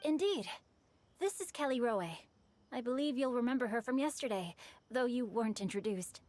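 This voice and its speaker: Well-bred young bookworm boy for a game, woman performer: fairly high, courteous, articulate, formal. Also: expressive, normal speed, noisy background, lightly acted. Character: polite, bookish, well-bred boy scholar. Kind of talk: animated video game voice acting.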